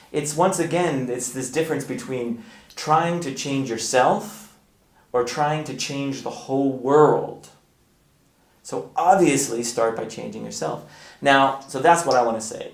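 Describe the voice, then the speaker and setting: A man with a mid-pitched, creaky voice talks through an explanation in an informal way. He halts to think aloud and puts weight on key words. The room adds a noticeable echo around his voice. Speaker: video blogger. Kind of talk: casual explanatory monologue to camera.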